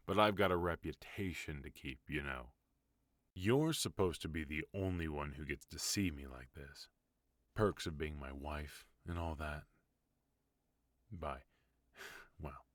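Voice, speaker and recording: A young man playing a weary, devoted mafia boss husband in an ASMR roleplay, soft-spoken and teasing, on a close mic. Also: rumbling low, no room sound.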